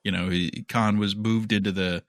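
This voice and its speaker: A man's voice: fairly low pitch, fairly even intonation, moderate pace, no room sound, studio quality. A man co-hosting a podcast, chatting casually and offering his opinions in a conversational way. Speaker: podcast co-host. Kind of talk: casual chat about entertainment news.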